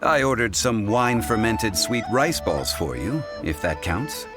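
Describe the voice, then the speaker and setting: An actor playing a calm, scholarly gentleman in a video game, speaking in a formal, measured, courteous way over music. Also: on the low side. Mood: composed, courteous. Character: calm, refined, scholarly gentleman consultant, learned and composed. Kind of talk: video game character dialogue.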